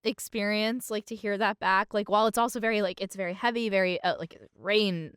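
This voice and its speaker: A woman with a smooth, clear voice speaks in a very dry recording. She is a podcast guest reflecting casually, expressive but halting, and gently self-deprecating. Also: mid-range voice, dry recording.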